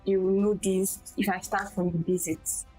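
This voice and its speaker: A young woman speaks in a small, quiet voice as a guest tutor in a casual interview. She talks haltingly, often restarting her sentences. Faint music plays underneath. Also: medium pitch, level tone, poor audio. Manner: soft-spoken, halting with restarts. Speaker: guest, tech skills tutor.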